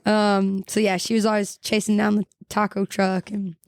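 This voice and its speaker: A woman's voice: mid-range voice, flat delivery, normal speed, clean tone, no room sound, clean studio sound. A woman, a chatty, giggly guest who trails off and restarts mid-thought in casual podcast talk. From Texas. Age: 20s. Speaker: guest singer-songwriter.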